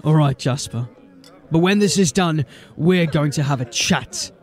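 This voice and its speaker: Blunt, wry lawman of a fantasy city watch, played by a man, slightly high-pitched, grumbling. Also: unhurried, lightly acted. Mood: grave, uneasy. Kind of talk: fantasy audio drama acting.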